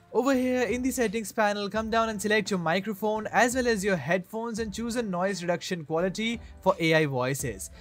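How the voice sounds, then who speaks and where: A man hosting a tech review, reading scripted tutorial narration. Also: high-pitched. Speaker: tech reviewer, channel host. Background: background music.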